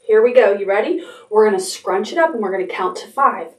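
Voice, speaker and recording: A woman's voice: medium pitch, brisk, a little mellow, soft background music. A middle-aged woman leading a toddler storytime, giving simple, repeated instructions with encouragement. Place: indoor room decorated with stuffed animals. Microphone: camera a few metres away. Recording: roomy, distant from the mic.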